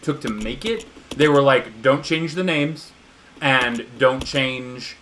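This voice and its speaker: A man's voice: mid-range voice, slow pace, a bit bright, a little hiss or hum. A man in casual chat while playing a video game, explaining at length with many fillers and restarts. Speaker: gaming co-streamer.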